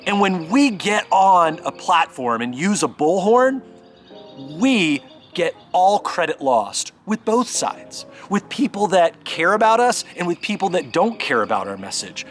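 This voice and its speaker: A man giving a conversational sermon as a pastor, earnest and emphatic, speaking directly to the listener and pausing deliberately for stress. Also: high-pitched, very animated, fairly bright tone, soft background music. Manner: exhorting, addressing the listener directly.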